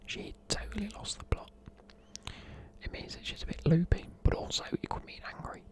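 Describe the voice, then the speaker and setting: Whispering man. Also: moderate pace. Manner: soft unvoiced whisper, chatty and rambling. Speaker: ASMR creator. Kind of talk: whispered ASMR explaining slang.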